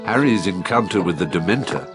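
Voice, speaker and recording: Low-voiced guy narrating a game like a storybook, lively delivery, music behind. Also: brisk.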